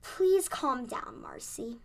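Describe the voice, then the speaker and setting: A woman's voice: high voice, expressive, slow pace, a little mellow, slight background noise, exaggerated voice. A girl of about ten playing a proper, composed woman shop owner in a school radio play, speaking formally. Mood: stern. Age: child of about ten.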